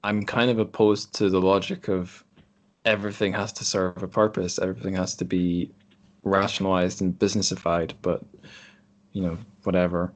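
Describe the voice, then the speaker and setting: Guy, deep voice, podcast host just chatting, kinda rambly and thoughtful. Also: flat delivery, moderate pace. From Scotland. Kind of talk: casual conversation. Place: group online call.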